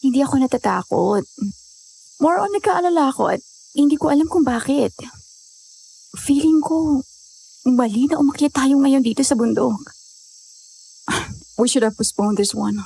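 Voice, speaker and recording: A woman's voice: high-pitched, expressive, a little slow, gravelly, pauses often, dark tone, heavily acted, poor audio. A young woman playing an anxious hiker in a horror audio drama, hesitant. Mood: worried.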